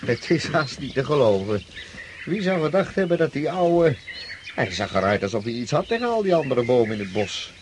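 An actor as a chatty servant coachman talks with a lively, swooping melody. He is folksy and deferential, in radio drama style. Faint countryside noise and birds sit behind him. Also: medium pitch, normal speed, close to an everyday voice. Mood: amazed, folksy.

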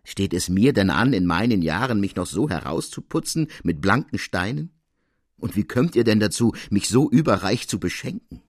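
A man's voice: lowish voice, expressive, moderate pace, breathy edge, no echo, studio quality. Man voicing an elderly, gracefully teasing noblewoman. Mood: playfully modest. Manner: gracefully playful, courtly. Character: elderly noblewoman, gracious, teasing, self-deprecating.

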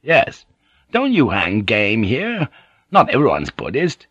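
A man's voice: medium pitch, wildly swooping pitch, slow, a bit bright, husky, no room sound, highs cut off, heavily acted. A man voicing a blunt, opinionated, irritable European man of the sea in an audiobook. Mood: matter-of-fact. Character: blunt, irritable European seafarer living in Japan.

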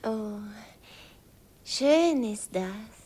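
High-voiced village girl. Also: expressive, dark tone, full character voice. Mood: tender, coaxing. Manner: artless, coaxing, halting. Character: naive, affectionate teenage Hungarian village girl. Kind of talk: 1950s radio play acting. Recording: vintage radio recording with music behind.

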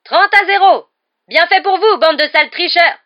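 A woman voicing an excited, partisan schoolboy shouting cheeky live sports commentary in an audiobook. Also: high voice, moderate pace, lo-fi sound, pitched above the performer's own voice. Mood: gleeful, scornful. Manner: exclamatory, shouting, openly biased.

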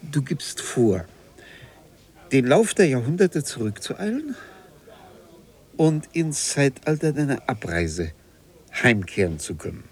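An actor playing a polite, bewildered bookseller in a radio play, halting and puzzled as he struggles to follow. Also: medium pitch, sing-song, husky, heavily acted. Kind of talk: German radio play acting.

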